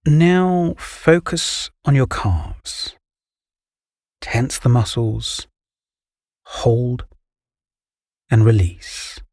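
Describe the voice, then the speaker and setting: A man guiding a relaxation meditation, giving calm, soothing, measured instructions. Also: medium pitch, dry recording, clean studio sound. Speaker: meditation guide. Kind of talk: guided relaxation meditation, scripted narration.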